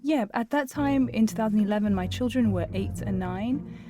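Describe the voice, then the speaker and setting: A woman's voice: on the high side, moderate pace, music in the background. A middle-aged woman, a psychologist, speaking reflectively as she is interviewed. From Syria.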